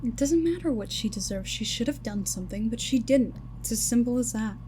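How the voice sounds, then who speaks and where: A woman playing a brisk, self-assured working mother and scientist in an audio drama, with an assured, matter-of-fact manner. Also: on the high side, animated, mellow, slight background noise, lightly acted. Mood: firm, dismissive.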